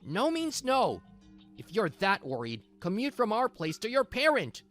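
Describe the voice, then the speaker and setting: A woman's voice: mid-range voice, huge pitch swings, a little slow. A woman playing a callous, spoiled, dismissive young husband in a manga drama dub. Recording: music behind the voice.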